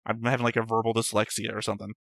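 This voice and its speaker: Guy on a reaction show, low bright voice, kinda monotone, rambles and argues. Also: racing, no room sound, studio quality. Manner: rambling, searches for words, argumentative. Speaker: anime reaction show co-host. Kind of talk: casual discussion.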